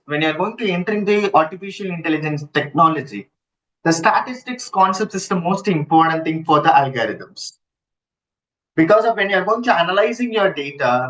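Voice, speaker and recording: A man giving a live online lecture, halting and repeating himself as he checks in with listeners, using his computer's built-in mic. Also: a little high, a bit bright. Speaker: instructor. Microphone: built-in computer mic, no headset. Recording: dull lo-fi computer audio.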